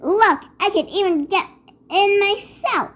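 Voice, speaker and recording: Woman acting a wicked old storybook witch, high-pitched, slow drawn-out words, cackling, screechy, bossy and sly. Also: a bit bright. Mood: impatient, angry. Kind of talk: storybook read-aloud character voice.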